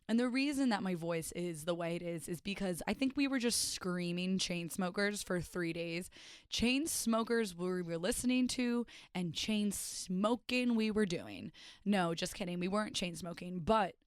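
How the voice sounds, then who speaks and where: A young woman hosting her own podcast and rambling in a self-mocking, chatty way. Her voice is hoarse and raspy after days of partying. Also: medium pitch, no room sound, studio quality. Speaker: podcast host and stand-up comedian. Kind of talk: casual solo podcast chat.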